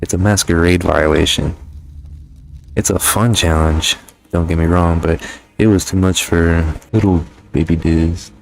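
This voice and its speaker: A man's voice: low voice, fairly even intonation, measured pace, gravelly. A young man giving a casual, sweary video game review as a gaming YouTuber. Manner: informal, heavily sweary.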